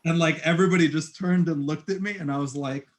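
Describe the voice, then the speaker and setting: A young man with a fairly high voice hosts a casual podcast chat. He tells stories in an animated, loose way, doubling back with restarts and fillers as he goes. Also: quick pace. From born in Egypt, raised in Puerto Rico, Queens and Long Island. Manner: animated storytelling with frequent restarts and "like". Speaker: podcast host. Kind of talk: casual conversation.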